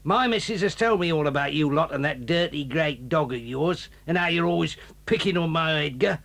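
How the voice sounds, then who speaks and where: An actor playing a gruff, bullying middle-aged sailor who talks slowly and threateningly in an audio drama. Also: male voice, on the high side, fairly bright tone. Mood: menacing. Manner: menacing, slow and threatening. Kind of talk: 1980s audio drama acting.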